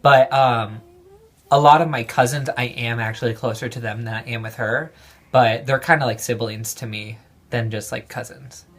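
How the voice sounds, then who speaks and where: A man in his twenties being interviewed, talking casually and hesitantly with many pauses and restarts. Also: medium pitch, steady tone, a bit bright. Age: 20s.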